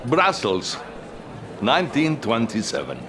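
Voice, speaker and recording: An actor playing a scheming, power-hungry Soviet premier with a Russian accent and a theatrical, commanding manner in a game cutscene. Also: male voice, mid-range voice, huge pitch swings, measured pace, noisy background. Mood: grave, scheming. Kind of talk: dramatic video game cutscene acting. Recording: music behind the voice.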